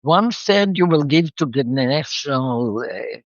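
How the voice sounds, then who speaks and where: A woman's voice: extremely low pitch, lively intonation, a little slow, dry recording. An older woman from Israel, reflectively telling the story of her life as an interview guest, with a slightly muffled sound.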